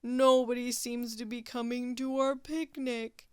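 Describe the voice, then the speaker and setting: A woman's voice: on the high side, flat delivery, a little slow, a little mellow, clean tone, no echo, clean studio sound, lightly acted. A woman voicing a gloomy walrus who worries and moans about everything. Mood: worried. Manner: moaning, fretful.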